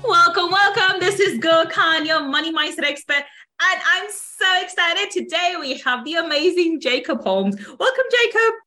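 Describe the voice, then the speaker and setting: A woman's voice: very high voice, lively intonation, normal speed, sharp tone, soft background music. A woman hosting an interview podcast in an effusive, emphatic way. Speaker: podcast host.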